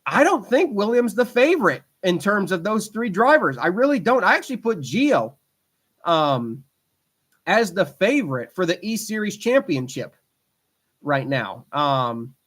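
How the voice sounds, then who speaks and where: A man in his twenties hosts a casual podcast by himself. His voice sits a little on the high side. He rambles with plenty of ums and restarts, and he talks with a fan's eager enthusiasm. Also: big pitch swings, unhurried, a bit bright. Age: 20s. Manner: rambling, frequent fillers and restarts; enthusiastic fan talk. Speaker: podcast host. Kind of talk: casual solo podcast chat.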